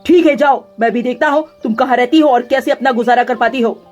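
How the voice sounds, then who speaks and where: A woman's voice: high voice, brisk, a little mellow, faint music behind, natural voice. A woman voicing a stern, controlling father bird in a cartoon, scolding and domineering. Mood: angry.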